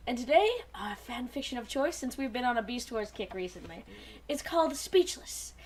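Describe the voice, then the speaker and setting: A woman's voice: fairly high pitch, lively intonation, moderate pace. A young woman co-hosting a fan fiction show, chatting casually with friends in a joking, opinionated way. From Alaska, USA.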